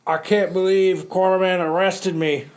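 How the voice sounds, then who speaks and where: A man's voice: sits high, flat delivery, normal speed, darkish tone, natural voice. A man in an amateur comic reading, playing a mild henchman friend with plain, earnest delivery. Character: mild henchman friend, aggrieved but eager to please.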